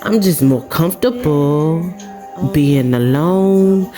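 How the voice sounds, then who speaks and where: A woman rapping in a low, deep register and an unhurried, steady spoken-word flow. Clear backing music plays under her voice the whole time. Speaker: rapper. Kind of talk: rapping over a beat.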